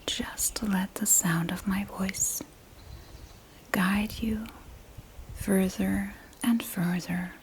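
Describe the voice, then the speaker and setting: Very slow whispering woman. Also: medium pitch, steady tone, slight background noise. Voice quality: breathy whisper. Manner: soothing, gentle, long pauses between short phrases. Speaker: meditation guide. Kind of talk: ASMR guided sleep meditation.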